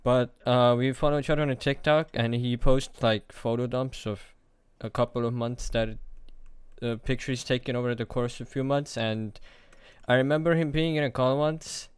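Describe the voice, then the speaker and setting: A young man in his late teens talking alone to the camera in a hesitant, halting, self-deprecating way, as a confessional vlog. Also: medium pitch, steady tone, clean tone, dry recording. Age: young adult. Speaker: vlogger.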